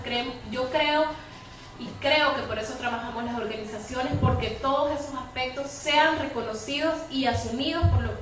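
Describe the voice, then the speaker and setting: A woman's voice: fairly high pitch, fairly bright tone. A woman activist on a public debate panel, searching for words and adding frequent tag questions. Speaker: women's organization activist, panelist. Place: public debate hall with audience. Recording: very echoey hall, distant mic, faint noise.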